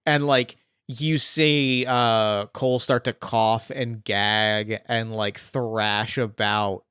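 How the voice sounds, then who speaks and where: A man's voice: fairly low pitch, fairly even intonation, slow, a bit bright, clear, resonant tone, no echo, studio quality. A man narrating as game master in a casual, hesitant way with many restarts.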